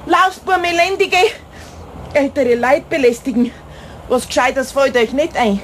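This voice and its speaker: A woman's voice: sits high, a little slow, a little hiss or hum. A woman playing an indignant elderly passer-by in a children's radio play, grumbling and accusing in a Bavarian accent.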